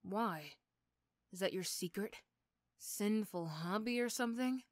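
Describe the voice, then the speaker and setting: A sardonic female supervillain, acted by a woman for an ASMR roleplay, recorded close and dry. She is condescending and teasing, mean on the surface with grudging sympathy underneath, in a medium-pitched voice. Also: lively intonation, measured pace, no echo, natural voice. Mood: dry, skeptical. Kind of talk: ASMR roleplay character acting.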